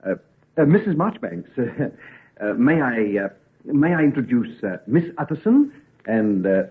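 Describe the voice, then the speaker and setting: Bashful young doctor, halting, lively pitch swings, radio drama, dull old recording. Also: male voice. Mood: flustered. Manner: hesitant, halting. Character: shy, flustered young gentleman doctor of twenty-two.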